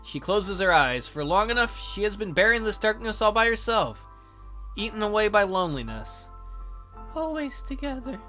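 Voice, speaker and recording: A man hosting a let's play, reading a visual novel aloud in a theatrical, expressive way. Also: sits high, moderate pace. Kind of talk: dramatic read-aloud of a visual novel, with casual asides. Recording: music behind the voice.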